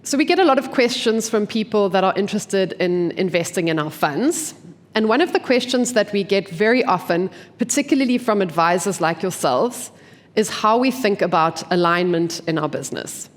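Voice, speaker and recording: A woman's voice: mid-range voice, animated, moderate pace. Congested woman presenting in a reverberant hall. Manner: clear, structured explanation with rhetorical questions. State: congested from a cold. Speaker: investment team member presenting at a forum. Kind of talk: conference presentation. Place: conference venue.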